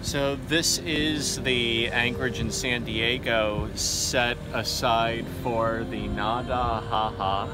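A man's voice: mid-range voice, takes it slowly, few pauses, heavy background noise. A man in his thirties casually narrating a sailing vlog outdoors on a boat, talking into a phone held at arm's length in an easygoing way. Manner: relaxed, easygoing commentary with fillers. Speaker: sailing vlogger. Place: outdoors on a sailboat at sea.

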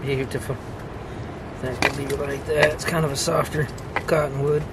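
A man's voice: medium pitch, little pitch movement, moderate pace. A man in his thirties vlogging while camping in a tent in the woods at night, exclaiming and joking in a casual way. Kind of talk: casual solo vlog narration. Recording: wind and outdoor noise behind.

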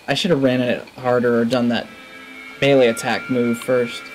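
A man in his thirties plays a video game and talks through it casually. His pitch is average and his remarks are short and low-key, as if thinking out loud. Music plays behind his voice. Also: level tone, moderate pace, rough recording. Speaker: gamer, let's play host. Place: indoor room at a gaming setup. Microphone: headset.

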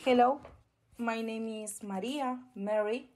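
A woman from Argentina with an Argentine Spanish accent, a native Spanish speaker, sharing a personal faith testimony in careful, halting English, nervous and shy. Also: a little high, darkish tone.

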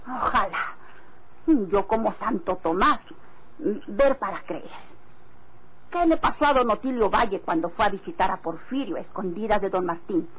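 Casting: woman playing distrustful, sharp-witted Mexican wife, medium pitch, admonishing tone, faintly noisy old radio serial. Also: expressive, moderate pace, lightly acted. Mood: wary, foreboding. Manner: pointed, admonishing.

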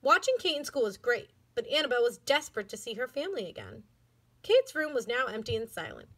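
A woman reading a children's book aloud with clear, expressive storytelling. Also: a little high, fast talker, poor audio. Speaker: elementary school educator.

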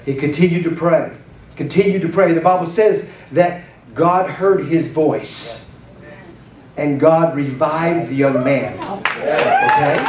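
Animated middle-aged pastor preaching in a roomy hall. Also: male voice, a little high, slight background noise. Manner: animated preaching, gesturing and pacing the stage. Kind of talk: sermon. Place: church hall stage. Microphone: handheld mic. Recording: roomy hall sound from a distant camera.

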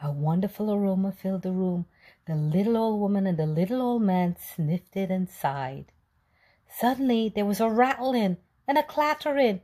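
A woman narrating a children's picture book as a read-aloud storyteller, in an expressive, deliberate manner. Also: medium pitch.

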